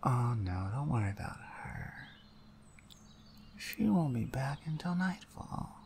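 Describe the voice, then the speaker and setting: A woman acting as a dominant female orc shaman in an ASMR roleplay, with a low voice. She is stern and deliberate, giving orders with a taunting, suggestive edge, over audible background noise. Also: very animated, darkish tone, full character voice. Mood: teasing, suggestive.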